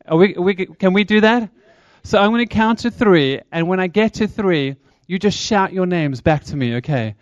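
A South African man in his thirties speaks to a church congregation with a chatty, engaging lilt. His voice sits a little high for a man. The sound is dry and a touch dull. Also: animated, moderate pace, hardly any pauses, no echo. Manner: chatty, engaging, asks the crowd questions. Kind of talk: upbeat church talk to congregation.

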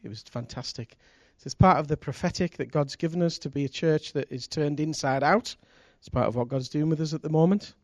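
A church leader speaks with enthusiasm, his voice a little high for a man. He shares a short testimony before welcoming guests. The sound is dry and close. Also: brisk, few pauses, a little mellow, no echo. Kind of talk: church announcement.

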